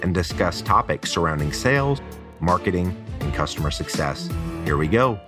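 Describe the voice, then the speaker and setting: A man's voice: sits low, animated, normal speed. A man reading a scripted podcast intro as an announcer. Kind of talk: scripted narration. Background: music.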